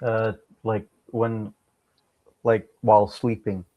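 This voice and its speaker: Halting, low-voiced man chatting. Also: flat delivery, no echo, dull audio. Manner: halting, repeats words mid-sentence. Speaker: interview guest, amateur musician. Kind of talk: casual interview chat.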